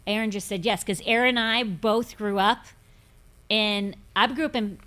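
A woman's voice: mid-range voice, fairly even intonation, moderate pace, sharp tone. A woman in her fifties, a pastor hosting a casual faith podcast, talking in a forthright, emphatic, preachy way. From grew up in Pentecostal churches. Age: 50s.

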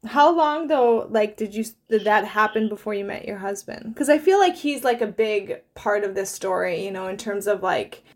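A woman's voice: medium pitch, expressive, normal speed, hardly any pauses. Chatty woman in her thirties hosting. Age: 30s. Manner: chatty, hesitant, many likes and fillers. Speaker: host. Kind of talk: conversational interview.

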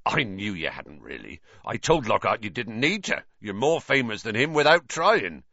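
A man voicing a big, gruff gamekeeper in an audiobook, with a growling voice and loud, blunt, folksy, genial speech. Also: lowish voice, sing-song, normal speed, dry recording, lo-fi sound, heavily acted. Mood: jovial, teasing. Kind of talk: audiobook character voice.

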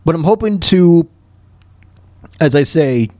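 A man with a somewhat high voice hosts a casual talk radio show on his own. He speaks in a halting way, thinking aloud as he goes, and the sound is dry and close. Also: animated, dark tone, no room sound.

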